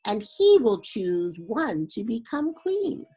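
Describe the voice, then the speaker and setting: A woman's voice: fairly high pitch, sing-song, slow, dark tone, breathy edge, full character voice. A woman voicing a formal royal messenger who makes a proclamation in a storybook.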